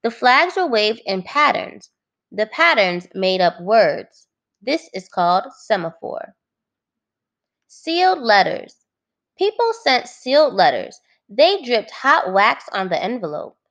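Lady teacher doing a read-aloud for little kids, pitch swings a lot, explains stuff simply. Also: sing-song, slow, a bit bright, highs cut off. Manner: clearly phrased, instructive, addressing young listeners. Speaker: teacher reading aloud to young children.

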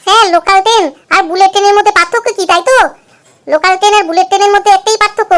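Squeaky, racing cartoon pupil. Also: female voice, very fast, a bit bright. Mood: cheeky, glib. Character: cheeky squeaky young pupil, comedy cartoon. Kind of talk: cartoon comedy voice acting.

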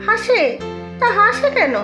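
Very high-pitched, puzzled cartoon mother. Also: lively intonation, unhurried, music in the background. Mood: bewildered. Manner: exclaiming, bewildered questions. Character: simple-minded, puzzled housewife and mother. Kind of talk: animated cartoon dialogue.

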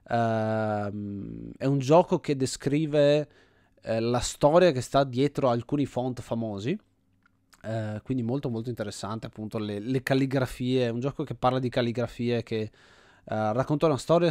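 Male gaming host, average-pitched voice, enthusiastic thinking-aloud commentary, close dry recording. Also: a little mellow, no echo. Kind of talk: casual let's play commentary.

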